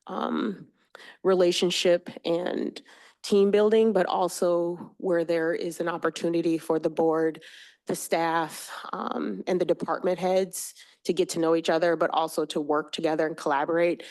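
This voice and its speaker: A woman on a village board makes her case in an earnest, deliberate way, often pausing with "um" as she gathers her thoughts. Her voice sits slightly low. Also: darkish tone. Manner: deliberate, earnest, with frequent um pauses. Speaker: board member. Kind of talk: meeting discussion. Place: village board meeting room.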